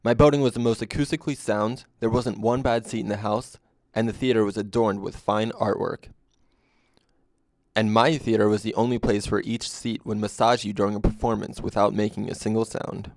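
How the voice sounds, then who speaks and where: A man narrating a scripted first-person story in a deadpan way. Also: lowish voice, brisk, dry recording.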